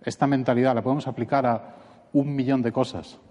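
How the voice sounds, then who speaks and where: A man's voice: medium pitch, brisk. A man from Barcelona with a Spanish accent, giving a conference talk and acting out quotes in a mocking voice. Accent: Spanish from Catalonia. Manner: animated, acts out quotes in a mocking voice. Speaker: conference speaker. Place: conference hall. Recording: echoey conference hall.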